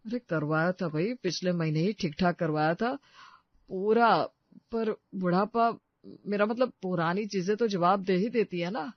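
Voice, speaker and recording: Casting: man playing older family patriarch, notably high voice, earnest and grieving, cartoon moral tale, dry slightly dull recording. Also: unhurried, no echo. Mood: defensive. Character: grieving, placating elderly family father mourning his Bittu. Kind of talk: Hindi moral story cartoon voice acting.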